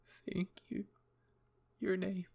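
A man reads a sponsor plug as the channel's host, in an upbeat promotional style. His voice is fairly high with a creaky edge. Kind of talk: upbeat ad read.

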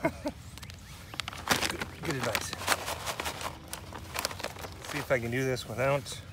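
A man's voice: mid-range voice, slow pace. A man in his forties cooking outdoors in autumn woods, chatting casually and thinking aloud with fillers and self-deprecating asides. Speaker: outdoor vlogger. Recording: outdoor recording with clear background noise.

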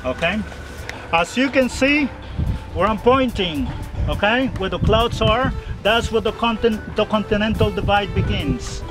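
High-pitched man guiding tourists outdoors. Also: measured pace. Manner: checks understanding with "okay?". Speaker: tour guide. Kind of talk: explaining sights to tourists. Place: outdoors at shore and on jungle trail. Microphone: camera a short distance away. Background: music.

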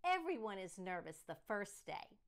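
A woman's voice: medium pitch, very animated, fairly bright tone. A woman voicing a gushing, bustling school principal who welcomes a new student. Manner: gushing, brisk.

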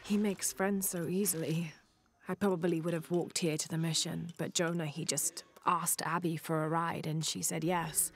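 A woman playing a young, earnest and determined adventurer in a video game, speaking in a measured, thoughtful way. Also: low-pitched, flat delivery.

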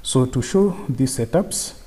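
A man presenting an unscripted software tutorial, explaining step by step and often repeating his phrases. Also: medium pitch, animated, slow pace, breathy edge. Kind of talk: unscripted screen-share tutorial.

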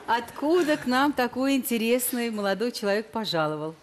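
A middle-aged woman hosting a television dating show, questioning a guest with quick, ironic teasing. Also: fairly high pitch, big pitch swings, slight background noise. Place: large television studio.

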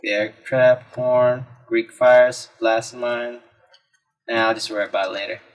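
A man's voice: mid-range voice, steady tone, a little slow, poor audio. A man giving casual gameplay commentary, exclaiming and reacting as he talks to himself. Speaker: let's play gamer. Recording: game music behind the voice. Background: video game music.

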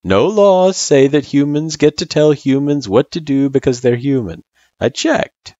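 A man's voice: mid-range voice, measured pace, dark tone, no echo, dull audio, pitched above the performer's own voice, natural voice. A man voicing a laid-back, teasing, reassuring buddy with a casual, joking delivery in a story reading. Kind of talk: character voice in story narration.

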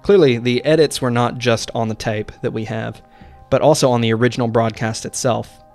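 A man with a medium-low voice narrates a horror recap as a video host. He tells it deliberately and with drama, like scripted storytelling, while music plays underneath. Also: fairly even intonation, darkish tone. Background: background music.

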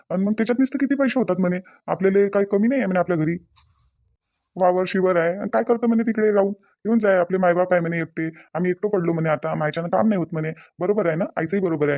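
In a dull, lo-fi recording, a man plays a worn-down cartoon husband with a high voice and a Varhadi Marathi accent. He talks quickly, reasoning and coaxing with repeated phrases. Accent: Varhadi Marathi of Vidarbha. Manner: repetitive, reasoning, persuasive. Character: earnest husband and dutiful son, coaxing, worn down. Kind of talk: cartoon dialogue. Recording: dull, lo-fi sound.

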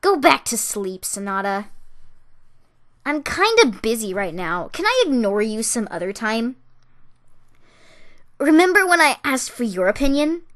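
A woman voicing a grumpy, sarcastic teenage siren girl from a cartoon, deadpan and dismissive. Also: fairly high pitch, unhurried, pauses often. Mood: sullen, sarcastic. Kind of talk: cartoon character voice reel.

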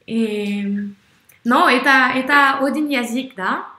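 Young french woman, super slow, lots of ums, bright voice, echoey video call. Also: high voice. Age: young adult. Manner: hesitant, searching for words, many fillers and self-corrections. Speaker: language-learning video host. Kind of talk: halting conversation in a learned language. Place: small room indoors. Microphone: red headphones, webcam video call.

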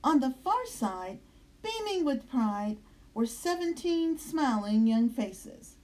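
High, lively woman reading to children. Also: slow pace. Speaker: storybook reader. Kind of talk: expressive children's read-aloud of rhyming story. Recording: roomy, echoing indoor sound.

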